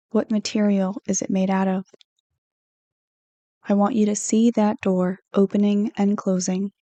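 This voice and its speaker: A woman reading a guided meditation script in a soothing, unhurried, gently instructive manner. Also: mid-range voice, no room sound. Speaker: counselor hosting guided meditations.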